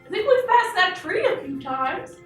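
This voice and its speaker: A woman's voice: very high voice, big pitch swings, moderate pace, a bit bright, exaggerated voice. A woman voicing a naive, whiny young male puppet in a children's show, hesitant and easily discouraged. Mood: sheepish. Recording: echoey room, distant mic, music behind.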